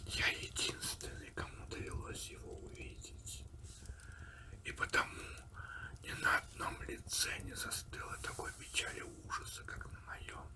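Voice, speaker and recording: A man narrating a story in a breathy, hushed ASMR whisper, deliberate and unhurried, with pauses between phrases. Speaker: audiobook reader and host of an ASMR channel.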